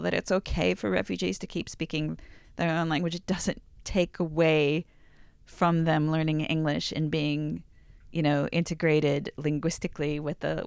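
A woman's voice: low voice, vocal fry, clear, resonant tone, dry recording. A woman speaking in an interview about refugee families and their home languages, earnest and searching for words.

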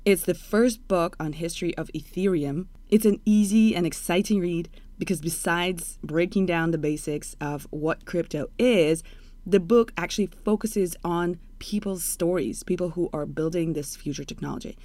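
A woman's voice: on the low side, moderate pace, darkish tone, no room sound. Candid, playful woman host. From Russia. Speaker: podcast host. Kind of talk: interview.

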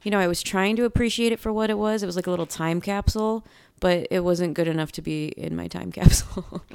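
A woman co-hosting a casual podcast, wry and quick to laugh. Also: on the low side, gravelly, a little mellow, smooth, dry recording. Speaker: podcast co-host.

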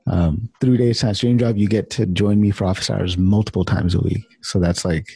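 A man with a gravelly, husky voice hosting open office hours in a loose, informal group chat. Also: lowish voice, steady tone, a little fast, warm tone, dry recording, clean studio sound. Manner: informal, loose, mild swearing.

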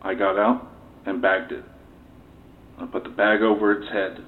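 Man acting a shaken, halting military gunner, tinny tape. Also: fairly low pitch, steady tone, lightly acted. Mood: shaken, numb. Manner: halting, stammering, repeats himself. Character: shaken, evasive military door gunner under debriefing. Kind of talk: audio drama acting. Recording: noisy muffled phone-like interview tape.

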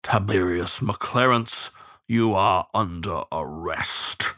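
A man voicing a grim, menacing bloodhound sheriff in a storybook, speaking in a slow growl. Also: deep voice, sharp tone, heavily acted.

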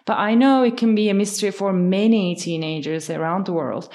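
A woman's voice: mid-range voice, a little fast, darkish tone. Thoughtful woman from Turkey, podcast host. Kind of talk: conversational podcast talk.

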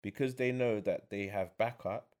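A young man speaks in a very low, bassy voice. He talks things over slowly and thoughtfully, pausing on fillers as he chats as a guest. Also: darkish tone. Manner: reflective, with many fillers. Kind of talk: casual chat.

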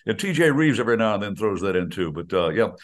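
A man's voice: lowish voice, very animated, quick pace. An older man who works as a radio play-by-play announcer, chatting in a folksy, good-humored way with stammered restarts. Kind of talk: casual radio chat.

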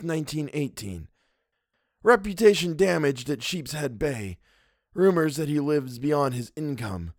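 A man's voice: a little high, no echo, clean studio sound, voice pushed up, lightly acted. A man voicing a sinister, crippled old blackmailer in a thin, raised, cold voice.

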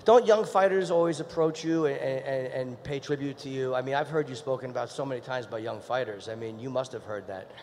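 A man's voice: mid-range voice, a little fast, hardly any pauses, darkish tone. A man interviewing a guest, earnest and hesitant, with many fillers. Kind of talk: interview questions and ad read. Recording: long hall reverb.

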